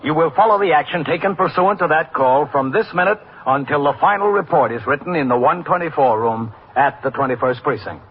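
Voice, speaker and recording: An actor playing a commanding New York police precinct captain in a 1950s radio drama, on an old recording. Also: male voice, a little high, a little fast, hardly any pauses, dull audio, a little hiss or hum, close to an everyday voice. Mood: measured, authoritative.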